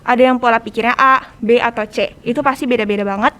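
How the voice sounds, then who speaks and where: A teenage girl with a fairly high voice speaks very quickly in Jakarta-accented Indonesian. She explains her points conversationally, like a student guest on a podcast. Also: fairly even intonation, a bit bright.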